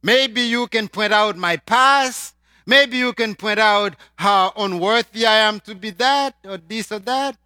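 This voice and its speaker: A man from Haiti with a Haitian accent, preaching a sermon and swinging between loud emphatic lines and quiet asides. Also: high voice, a little slow, smooth, dry recording, clean studio sound.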